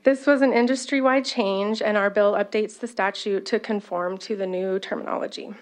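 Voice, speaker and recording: Woman government finance officer, mid-pitched, loud voice, formal testimony peppered with fillers. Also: fairly even intonation, normal speed. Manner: loud-voiced, apologetic asides, many "um" fillers.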